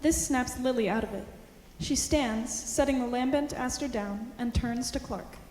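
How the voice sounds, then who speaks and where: High-voiced woman reading stage directions. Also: normal speed. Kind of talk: scripted narration of stage directions. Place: high school theater. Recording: echoey hall, loud piano music behind.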